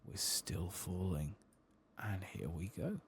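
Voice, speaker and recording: A man giving soft-spoken ASMR commentary over a video game, with understated asides to himself, close to the microphone. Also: sits low, slow, creaky, a little hiss or hum.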